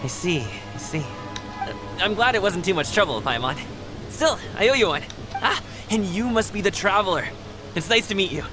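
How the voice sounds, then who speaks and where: A man's voice: high voice, sing-song, normal speed, music in the background. An actor playing an easygoing, friendly young male courier in a video game, breezy and upbeat.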